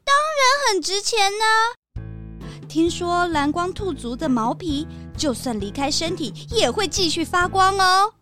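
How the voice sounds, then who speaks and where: A woman's voice: very high voice, expressive, a little slow, music in the background. A woman playing a greedy, vain young lady in a children's audio drama, with a showy, scheming manner. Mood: boastful.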